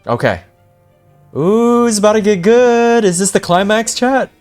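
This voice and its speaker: A man casually reacting as he plays a game live, slangy and excitable, like a streamer chatting to viewers. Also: sits high, unhurried. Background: game music.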